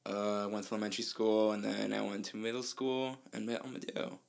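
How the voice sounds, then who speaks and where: Laid-back young man, gravelly voice. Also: fairly low pitch, fairly even intonation, fairly quick. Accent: slight Mexican Spanish. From New Mexico, USA. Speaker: podcast co-host. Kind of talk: casual chat.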